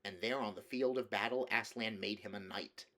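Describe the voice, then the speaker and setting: Male audiobook reader, low register, scripted storybook narration. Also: normal speed, bright, forceful tone.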